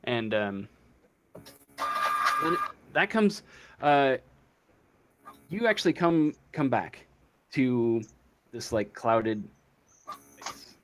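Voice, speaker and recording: Slow, halting man running a tabletop game. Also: medium pitch, animated, a bit bright, slight background noise. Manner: halting, thinking aloud. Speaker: game master. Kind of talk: improvised tabletop game narration.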